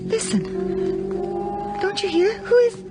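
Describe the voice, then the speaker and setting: A woman's voice: extremely high pitch, slow, over loud music. An actress playing a chatty, nervous young city-girl student with a hesitant, rambling manner in a horror radio drama. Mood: uneasy. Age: young adult. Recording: noisy radio drama recording with clicks.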